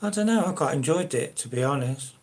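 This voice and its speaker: A man's voice: on the high side, lively intonation, fairly quick, a little mellow. An older man from South London with a Cockney-ish accent, chatting casually and hesitantly with viewers as the host of his own live stream. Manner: rambling, hesitant, many fillers and restarts.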